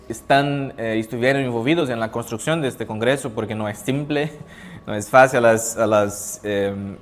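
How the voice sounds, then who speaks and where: A man with a Brazilian Portuguese accent, a native Portuguese speaker, moderating a conference panel, hesitant and full of restarts. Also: lowish voice. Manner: hesitant, restarts, apologetic about his Spanish. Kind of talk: conference panel opening remarks. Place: conference hall.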